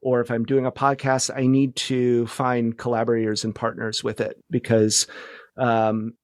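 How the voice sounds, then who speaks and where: Man, forties, mid-pitched voice, casual interview chat, rambling, self-deprecating, very dry sound. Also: completely flat delivery, moderate pace, no echo. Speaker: podcast guest.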